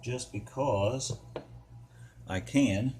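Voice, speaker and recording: A man's voice: medium pitch, extremely slow, darkish tone, faint music behind. An American man giving a casual, hands-on how-to in a folksy, easygoing, rambling way with playful wording. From United States. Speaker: DIY car repair vlogger.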